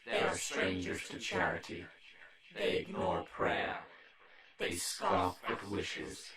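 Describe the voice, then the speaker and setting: A man's voice: deep voice, huge pitch swings, takes it slowly, over a noisy crowd, exaggerated voice. A man voicing an ominous ancient sea demon, chanting solemnly like a ritual in a horror audio drama. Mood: menacing. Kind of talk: ritual incantation. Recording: echoing, distant, crowded layered background sound.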